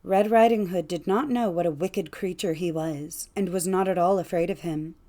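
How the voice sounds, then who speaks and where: A woman narrating a fairytale audiobook in a measured, expressive storytelling manner. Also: on the low side.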